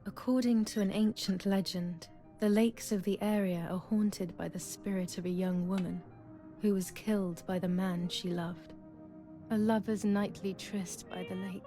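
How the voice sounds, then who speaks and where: A woman playing a troubled, brooding young woman in a wartime psychological game, narrating in a measured, dramatic, introspective way. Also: medium pitch, fairly even intonation, mellow, faint music behind, natural voice. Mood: somber storytelling. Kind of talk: scripted first-person game narration.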